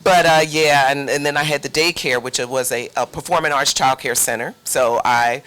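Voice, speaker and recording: A woman's voice: very deep voice, steady tone, normal speed, fairly bright tone. A woman playwright answering questions in a casual, conversational interview style. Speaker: playwright and producer being interviewed.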